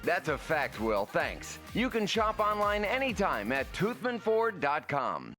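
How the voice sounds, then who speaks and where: A man's voice: on the high side, big pitch swings, unhurried, bright, forceful tone. An American man reading a scripted radio advertisement as the interviewer. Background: music bed.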